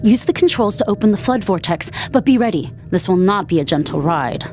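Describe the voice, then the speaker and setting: A woman's voice: mid-range voice, animated, fairly quick, darkish tone, faint music behind, close to an everyday voice. Woman playing a proud commanding warrior queen. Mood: resolute. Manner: firm, imperious. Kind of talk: video game cutscene acting.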